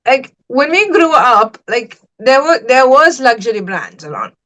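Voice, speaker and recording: Sri lankan-raised woman hosting a podcast, slightly high voice, lots of likes and y'alls. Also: very animated, a little slow, a bit bright. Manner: chatty, lots of "like" and "y'all", emphatic asides. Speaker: podcast host. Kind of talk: casual podcast chat.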